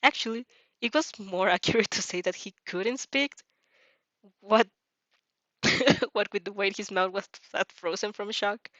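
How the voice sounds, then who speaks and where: A dry, slightly dull recording of a woman reading fiction aloud. She is expressive and giggly, tripping over the odd line and dropping into casual reactions. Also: mid-range voice, measured pace, pauses often, no room sound. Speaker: reader reacting to fan fiction.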